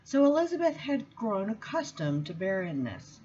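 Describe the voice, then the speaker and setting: A woman reads from a book aloud in an expressive style, with measured, deliberate phrasing and emphatic pauses. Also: medium pitch, dull audio, slight background noise. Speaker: seminary student reading aloud. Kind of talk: expressive book reading with spoken introduction.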